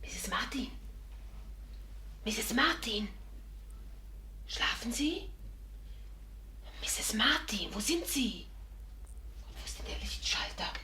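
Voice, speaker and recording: A woman's voice: fairly high pitch, animated, takes it slowly, pauses often, bright, forceful tone, whispered, heavily acted. An actress playing a plucky, easily exasperated young woman sleuth in a 1950s German radio drama. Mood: hushed.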